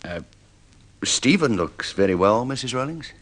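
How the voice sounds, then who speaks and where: Husky, hesitant gentleman friend. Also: mid-range voice, big pitch swings, normal speed. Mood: awkward. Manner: hesitant, concerned. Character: well-dressed, sympathetic old friend, shocked and awkward. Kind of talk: old-time radio drama acting.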